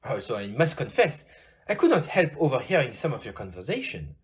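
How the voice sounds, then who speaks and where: A muffled, lo-fi audio-play recording of an amateur actor as a shrewd, fatherly male detective with a French accent. He speaks formally and politely, his pitch swinging widely in a husky voice. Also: sing-song, a little fast. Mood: courteous, probing. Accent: French, put on. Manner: formal, polite, theatrical phrasing. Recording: dull, muffled lo-fi.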